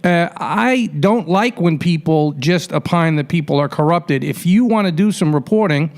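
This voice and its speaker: A man's voice: fairly high pitch, expressive, moderate pace. A man hosting a conversational sports radio interview, wordy and colloquial as he builds up to his questions. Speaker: radio show host, interviewer.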